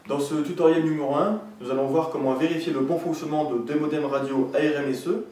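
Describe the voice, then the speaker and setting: A man's voice: fairly high pitch, fairly even intonation, fast, warm tone. A man presenting a technical tutorial step by step in a methodical, instructional manner. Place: indoor room with desk and green backdrop. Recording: echoey room, distant mic, faint noise.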